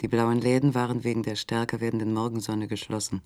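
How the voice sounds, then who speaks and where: An actor narrating a literary German radio play as a sober, measured third-person storyteller. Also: male voice, medium pitch, flat delivery, darkish tone, clean tone, natural voice.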